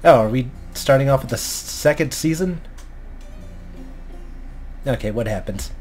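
A man's voice: medium pitch, measured pace, a little mellow, soft background music. A young man giving casual, hesitant, sweary reaction commentary at home as a video host. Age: young adult. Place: small room at home, indoors. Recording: faint background noise.

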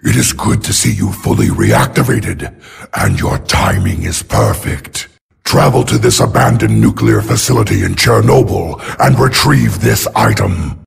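An actor playing a ruthless, imperious giant robot warlord in a video game, with a gravelly snarl and a menacing, grandiose manner. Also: male voice, rumbling low, heavily acted. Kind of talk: video game villain acting.

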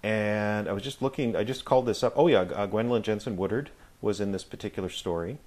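A middle-aged American man reminiscing casually as a guest in an interview, speaking in a reflective, halting way. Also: lowish voice, a little mellow. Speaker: guest, audio drama actor.

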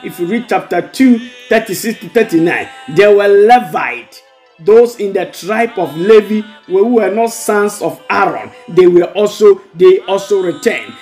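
High-pitched Ghanaian preacher man over music. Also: darkish tone. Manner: exhorting, repeats phrases. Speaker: pastor. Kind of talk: devotional sermon with prayer and blessings. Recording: music bed under the voice.

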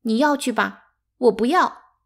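An indignant little girl from a storybook, done by a woman reader. She protests in a plaintive, tearful way, pitched higher than plain narration. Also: unhurried, creaky.

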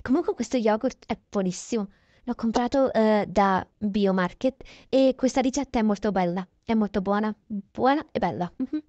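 A woman's voice: mid-range voice, moderate pace, mellow, dry recording, highs cut off. A woman narrating a casual improvised roleplay of her day, chatty and correcting herself as she goes. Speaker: narrator voicing a toy-game roleplay video.

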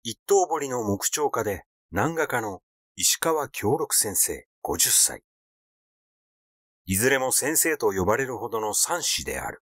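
Guy reading an audiobook story, really animated pitch, dry close sound. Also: unhurried, no room sound. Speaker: audiobook reader. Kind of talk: scripted literary narration, story reading.

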